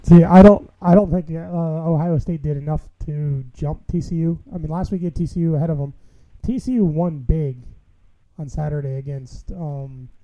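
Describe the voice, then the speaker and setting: A man's voice: on the high side, moderate pace, mellow, muffled recording. A man co-hosting a casual sports talk show, explaining his points in a matter-of-fact way.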